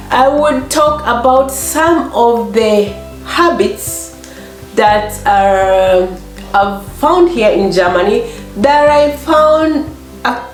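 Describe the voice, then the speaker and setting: A middle-aged woman with a Kenyan accent and a slightly hoarse voice, chatting casually as a vlog host and acting out little exchanges as she tells stories. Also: medium pitch. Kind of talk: casual chat to camera. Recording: echoey room.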